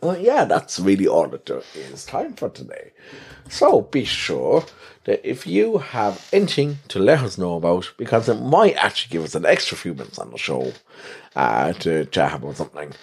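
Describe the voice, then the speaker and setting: A man's voice: sits low, wildly swooping pitch, normal speed, gravelly, breathy edge. An Irish man hosting a podcast, chatting casually in a rambling, self-deprecating way with a soothing voice. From Ireland. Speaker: podcast host. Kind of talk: casual solo podcast chat.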